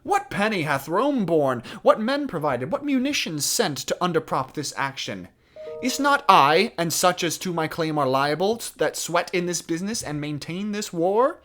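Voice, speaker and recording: A man's voice: medium pitch, expressive, a little slow. Man acting a proud, indignant young prince. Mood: furious, indignant. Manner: declamatory, imperious, indignant. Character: proud, furious young French prince, defiant and high-born. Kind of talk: classical Shakespearean stage monologue.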